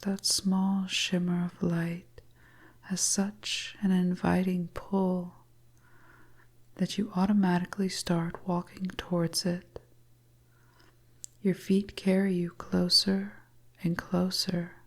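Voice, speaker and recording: Woman, hushed breathy whisper, unhurried pace, flat intonation, hypnotic storytelling. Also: fairly low pitch. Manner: slow, hushed, second-person suspense narration.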